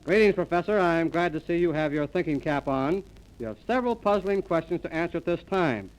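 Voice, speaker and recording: Male radio comedy host, high-pitched, mock-formal, on an old 1930s transcription disc. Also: lively intonation, fairly quick, smooth, soft background music, rough recording. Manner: mock-formal announcer delivery.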